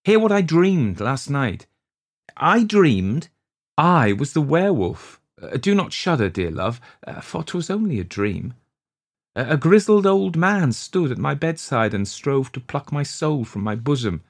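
A man's voice: fairly high pitch, sing-song, unhurried, voice pushed up, exaggerated voice. A man voicing an anguished young huntsman in love, pleading and trembling in archaic storybook speech. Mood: fearful, confiding. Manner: trembling, imploring, archaic diction.

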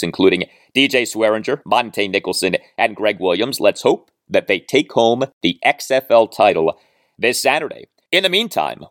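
A man hosting a sports podcast solo, speaking in emphatic, deliberate phrases with heavily stressed words. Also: fairly low pitch, a bit bright, no echo, clean studio sound. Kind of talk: sports commentary monologue with read-out listener mail and ad reads.

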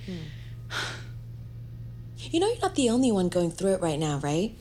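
A woman's voice: lowish voice, huge pitch swings, moderate pace, warm tone, faint music behind. A woman playing a prickly, sardonic mother in an audio drama. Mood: guarded hurt. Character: Chantal, prickly, sarcastic mother, irritated then guarded. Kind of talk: naturalistic audio drama acting.

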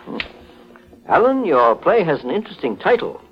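An actor playing a calm, firm middle-aged private investigator who questions formally and politely in a golden age radio mystery. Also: male voice, a little high, moderate pace, slightly rough. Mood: brisk, commanding. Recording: muffled vintage 1940s radio recording.

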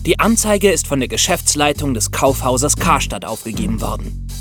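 A man's voice: medium pitch, very animated, normal speed, a bit bright, faint music behind. A man co-hosting a true-crime podcast, explaining things in a conversational way. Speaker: podcast co-host.

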